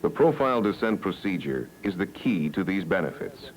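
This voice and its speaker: A man with an American accent reading scripted training film narration in a measured, instructional way, on an old 1970s film soundtrack. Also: low voice, big pitch swings, a little hiss or hum, poor audio.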